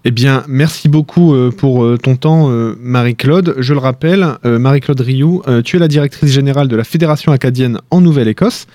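A male radio host asks measured questions, often pausing on fillers. His voice is mellow and relaxed, recorded close and dry. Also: mid-range voice, no room sound. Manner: measured questions with many hesitations. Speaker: radio interviewer. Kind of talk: radio interview.

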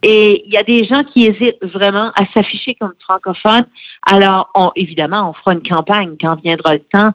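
A middle-aged woman answers interview questions in an earnest, explanatory way, pausing often on fillers. Her voice sits at an ordinary pitch. The recording is a bit dull and muffled, with a dry, close sound. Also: fairly even intonation, dry recording. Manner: earnest, explanatory, with frequent hesitations. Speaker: radio guest, head of a francophone federation.

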